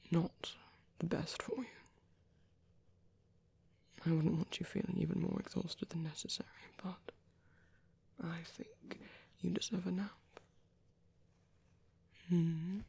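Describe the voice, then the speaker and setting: A man voicing a gentle, caring vampire priest in an ASMR roleplay, speaking in a soft, breathy whisper with unhurried, hesitant pauses. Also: high-pitched, heavily acted. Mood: gentle, concerned. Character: gentle, caring male vampire priest comforting a little one. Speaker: ASMR performer.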